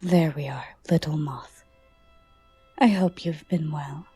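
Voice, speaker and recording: A woman playing a soft-spoken, alluring and guarded gothic lady in an ASMR roleplay, formal and teasing, often dropping into a whisper. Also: low-pitched, slightly rough, faint music behind, full character voice. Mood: fond, inviting. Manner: slow, formal, teasing endearments.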